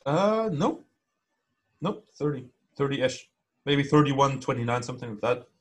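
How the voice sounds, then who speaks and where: Podcast co-host, male, mid-pitched, rambling easygoing chat. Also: lively intonation, unhurried. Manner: rambling, lots of fillers and laughs. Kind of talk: casual chat.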